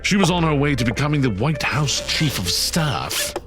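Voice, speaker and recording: An actor playing a formal, dutiful butler who announces ceremoniously in an audio drama. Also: male voice, medium pitch, sing-song, normal speed, over music, close to an everyday voice.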